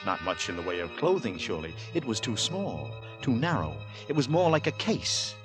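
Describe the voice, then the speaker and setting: An actor narrating a Victorian horror tale in a grave, measured, dramatic 1940s radio suspense style. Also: male voice, lowish voice, very animated. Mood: brooding, suspenseful. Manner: measured, dramatic, brooding delivery. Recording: vintage 1940s radio recording with music.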